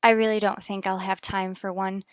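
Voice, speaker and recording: A woman's voice: medium pitch, flat delivery, moderate pace, no echo, studio quality, close to an everyday voice. An actress playing an earnest, anxious but determined wife and mother in a 1950s radio soap, formal and firm. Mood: tense, earnest.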